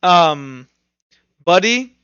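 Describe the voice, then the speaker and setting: A man running a tabletop roleplaying game as game master, narrating and explaining rules informally, thinking out loud as he goes. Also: high voice, expressive, extremely slow, clean tone, no echo, highs cut off.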